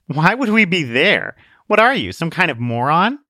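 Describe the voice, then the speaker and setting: A man's voice: a little high, normal speed, no room sound, clean studio sound, voice pushed up. A man voicing a brash, bossy sniper girl. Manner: bossy, sarcastic, excitable. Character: brash, pushy young female sniper girl.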